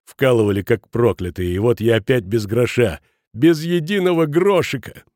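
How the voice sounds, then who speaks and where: A man's voice: fairly high pitch, moderate pace, darkish tone, dry recording, studio quality, lightly acted. A man voicing a bitter, indignant man robbed of his savings, reproachful and shaky, in an audiobook. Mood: bitter, shaken.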